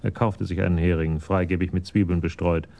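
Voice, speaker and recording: A man's voice: low voice, fairly even intonation, brisk, gravelly, darkish tone. A man giving sober scripted narration as the narrator of a German radio crime story.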